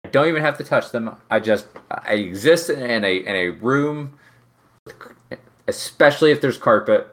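A man's voice: mid-range voice, expressive, normal speed, a bit bright. A man in his thirties chatting casually as a co-host, rambling and restarting his sentences with a joking tone. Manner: rambling, self-interrupting, joking. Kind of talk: casual chat.

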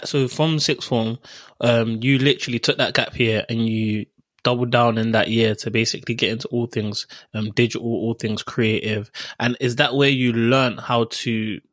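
A young man hosts a casual podcast interview in a mid-pitched voice. The sound is dry, with very little room around his conversational questions and comments. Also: fairly even intonation, moderate pace, a bit bright, no room sound. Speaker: podcast host.

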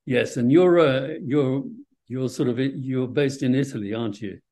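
A man's voice: medium pitch, normal speed, mellow. A man hosting a conversational interview, chatty and enthusiastic in his manner. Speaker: interview host.

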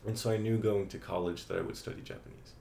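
A young man from France, a teacher, answering interview questions in a relaxed way with frequent ums and uhs. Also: on the low side, fast, creaky. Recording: echoey room with faint ambient noise.

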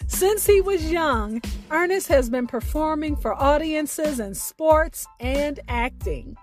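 A woman reads a prepared introduction as the host of a storytelling program. Her voice sits high and she takes her time with each phrase. Music plays underneath her. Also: expressive, takes it slowly. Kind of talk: scripted biographical introduction.

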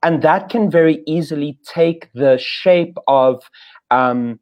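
Earnest man with a slightly high voice. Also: takes it slowly. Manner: candid, trailing off softly. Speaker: devotee sharing experience. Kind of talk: earnest discussion.

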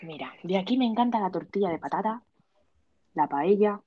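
A woman's voice: mid-range voice, expressive, highs cut off. Chatty woman with a Spanish accent. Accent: Spanish from Spain. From Spain. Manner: chatty, drawn-out sighs, giggly. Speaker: podcast guest. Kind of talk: casual podcast chat.

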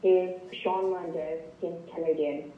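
Hesitant young Vietnamese caller, muffled line. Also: male voice, on the high side, flat delivery, slow pace. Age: young adult. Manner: hesitant, stammers at starts. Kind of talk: casual call-in chat. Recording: dull muffled call, echoey, faint hiss.

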